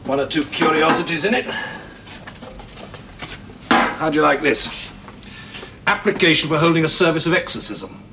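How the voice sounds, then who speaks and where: Measured British man, noisy soundtrack. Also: fairly high pitch, creaky. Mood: confiding. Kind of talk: 1970s British television drama acting. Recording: noisy 1970s television soundtrack.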